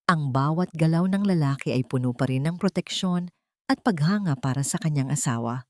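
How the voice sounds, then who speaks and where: Low-voiced Filipino woman narrating a story. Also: expressive, normal speed. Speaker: audiobook narrator. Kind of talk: scripted dramatic story narration.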